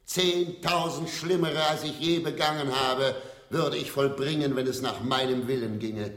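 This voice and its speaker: An actor playing a sardonic, theatrical English stage player in a German radio play, declamatory and wary. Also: male voice, measured pace. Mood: villainous declaiming. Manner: declamatory, swooping from booming to hushed.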